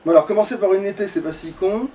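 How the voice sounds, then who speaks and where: A man's voice: high voice, fast, mellow. A man giving casual gaming commentary as he plays, grumbling and swearing. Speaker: let's play co-host playing the game. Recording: distant mic with faint background noise.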